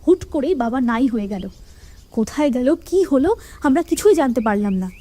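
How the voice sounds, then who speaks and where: A woman's voice: sits high, lively intonation, brisk, warm tone. A woman voicing a hurt, desperate young village woman in a cartoon, pleading and tearful.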